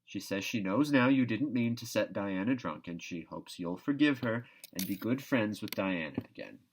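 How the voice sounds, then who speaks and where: A man voicing a brisk, plain-spoken older woman, a fond but scolding guardian in a children's novel read aloud. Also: fairly low pitch, expressive, slight background noise. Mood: matter-of-fact.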